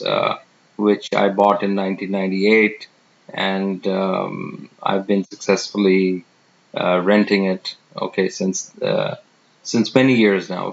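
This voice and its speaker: A man in his fifties from India introducing himself as a real estate professional, talking unhurriedly with many fillers and pauses. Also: deep voice, vocal fry. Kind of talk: self-introduction monologue. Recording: dull lo-fi sound.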